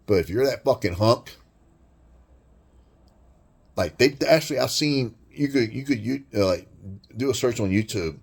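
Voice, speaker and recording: A man with a deep voice delivering a blunt, crude, rambling monologue rant full of swearing. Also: animated, moderate pace, gravelly, pauses often, breathy edge. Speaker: vlogger.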